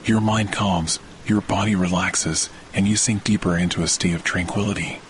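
A man with a deep, low voice guides listeners through a scripted relaxation meditation. His delivery is soothing and measured, like that of a sleep podcast host. Rain on leaves and soft thunder are clearly audible behind his words. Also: steady tone, a little hiss or hum, poor audio. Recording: loud rain and thunder sound bed.

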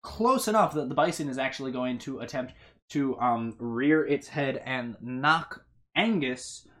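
A game master narrates a tabletop fantasy game in a voice of middling pitch. The delivery is improvised and conversational, halting often and stammering over words while describing the scene. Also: lively intonation, a bit bright.

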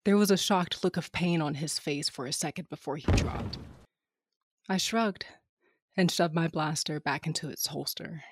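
Brash, low-voiced starship captain. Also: female voice, normal speed, slightly rough. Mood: cold, dismissive. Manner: wry, flippant, sardonic. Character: brash, cynical female smuggler starship captain, flippant and ruthless. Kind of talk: first-person audio drama narration and dialogue.

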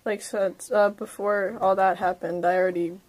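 A woman's voice: medium pitch, little pitch movement, normal speed, darkish tone. A woman chatting casually as a player in a tabletop role-playing game. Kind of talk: casual group chat.

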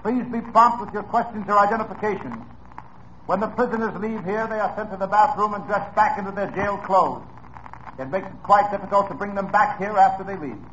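A stern police sergeant. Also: male voice, high voice, steady tone, fairly quick, a bit bright, slight background noise. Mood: commanding. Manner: commanding, formal announcements. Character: stern male police sergeant running a lineup. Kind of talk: 1950s radio drama acting. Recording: dull lo-fi old radio broadcast.

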